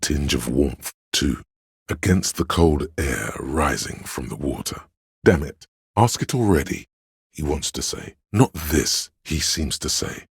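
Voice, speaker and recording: A man voicing a brooding inner voice that narrates a police drama in a game, with a very deep, gravelly, husky delivery, measured and solemn. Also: animated, no room sound, clean studio sound, exaggerated voice. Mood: tense, urgent. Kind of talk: literary scripted narration.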